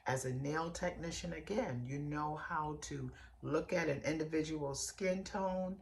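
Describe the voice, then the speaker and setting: A middle-aged woman hosting a motivational podcast lesson, hoarse from a summer cold, with a raspy, bassy voice and a chatty manner that speaks straight to her listeners. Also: unhurried, a little hiss or hum. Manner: warm, chatty, direct address to listeners. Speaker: podcast host, hairstylist and coach.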